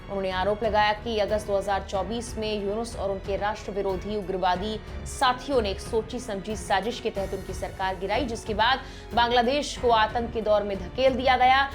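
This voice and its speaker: A woman's voice: medium pitch, fairly even intonation, normal speed. A woman presenting scripted news narration in a formal, emphatic manner. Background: background music.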